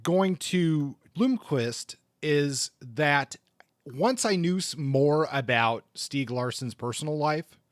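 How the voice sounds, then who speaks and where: A man's voice: a little high, animated, slow pace, no room sound. Man co-hosting a book talk, dry close sound. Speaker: podcast co-host. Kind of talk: conversational book discussion.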